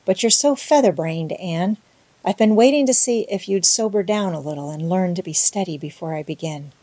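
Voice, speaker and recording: A woman's voice: fairly low pitch, animated, moderate pace, warm tone, no echo. A woman voicing a stern, practical middle-aged farm woman in an audiobook, brusque and no-nonsense. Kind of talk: audiobook character voice.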